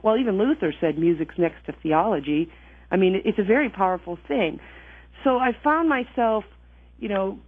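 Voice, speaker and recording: A woman's voice: medium pitch, no room sound. A woman guest earnestly recounting her own faith journey, thinking aloud and correcting herself as she goes, heard over a phone line. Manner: earnest, reflective, self-correcting. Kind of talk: personal testimony in conversation.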